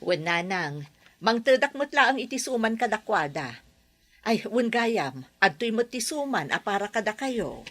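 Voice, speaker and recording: Woman playing a daughter, bouncy voice all over the place, bright tone. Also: fairly high pitch, sing-song, measured pace. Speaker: daughter in a family drama. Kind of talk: Ilocano radio drama acting.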